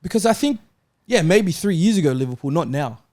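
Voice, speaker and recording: A man's voice: on the high side, animated, a little fast, darkish tone. A man in a casual football debate as a panellist, argumentative and insistent, repeating his points.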